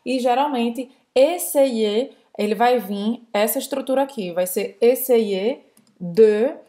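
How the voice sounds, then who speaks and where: Brazilian woman co-host, a little high-pitched, lively explainer, quick bursts. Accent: Brazilian Portuguese. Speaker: French teacher, co-host. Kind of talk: casual language lesson.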